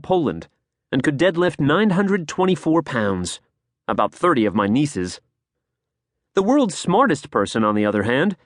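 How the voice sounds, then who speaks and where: An American man reading a nonfiction audiobook in a polished, expressive storytelling manner, close to a studio microphone. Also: on the high side, dry recording. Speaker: audiobook narrator. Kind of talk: scripted nonfiction audiobook narration.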